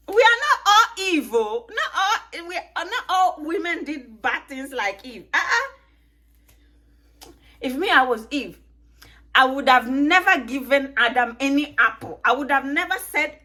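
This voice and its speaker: A woman's voice: sits high, bright, forceful tone. A woman in her thirties with a mixed Ghanaian, Italian, British and American accent, chatting and joking to camera at home while detangling her hair. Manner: chatty, joking, laughs often, asks viewers questions. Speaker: vlogger.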